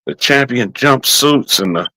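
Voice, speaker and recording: A man's voice: medium pitch, unhurried, no echo, low-quality recording. A man from New York telling stories in a casual podcast chat, acting out the quotes. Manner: animated storyteller, acts out quotes.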